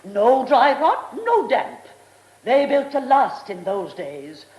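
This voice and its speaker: Casting: haughty aristocratic Englishwoman, indignant and cutting, high swooping voice, roomy sound. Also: big pitch swings, takes it slowly, a little mellow, a little hiss or hum. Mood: haughty, dismissive. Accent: upper-class English. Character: imperious aristocratic lady of the manor, indignant, stubborn. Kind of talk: British radio drama acting. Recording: roomy, echoing radio drama studio.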